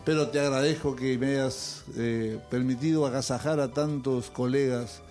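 A man's voice: medium pitch, fairly even intonation, normal speed, a little mellow, faint music behind. An older man, hosting a casual chat among musicians and telling anecdotes, acting out the voices of the people he quotes. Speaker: host.

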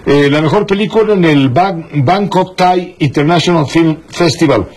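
A man's voice: on the high side, normal speed, darkish tone, husky. A man hosting a broadcast interview in a conversational style, often repeating words as he feels for the next one. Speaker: host interviewing a guest.

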